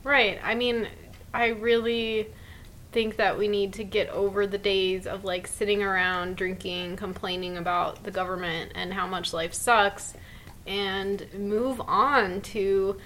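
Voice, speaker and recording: A woman in her thirties talks in a casual, earnest way. She sounds upbeat and keeps slipping "like" into her sentences as she co-hosts a relaxed conversation. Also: mid-range voice, a little slow, slight background noise, poor audio. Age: 30s. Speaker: podcast co-host. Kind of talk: casual chat.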